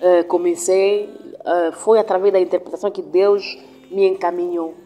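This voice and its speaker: A woman pastor whose first language is Swahili, giving an earnest, reflective testimony in an interview, pausing and restarting as she goes. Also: lowish voice, fairly even intonation, mellow, soft background music.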